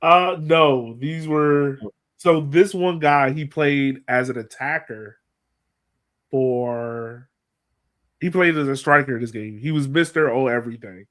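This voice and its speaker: A man's voice: mid-range voice, slow, a bit bright. A man co-hosting a soccer podcast in casual chat, with a playful, folksy way of putting questions. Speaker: sports podcast co-host.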